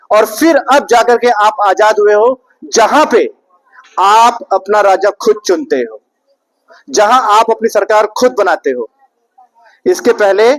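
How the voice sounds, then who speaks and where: A man's voice: high voice, rough recording. A young man giving a public speech to an audience, rhetorical and repetitive, putting questions to the listeners. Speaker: speaker at an Independence Day event.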